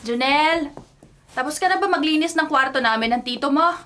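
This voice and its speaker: A woman in a Filipino radio drama playing an aunt who briskly hands out household chores. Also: sits high, fairly bright tone.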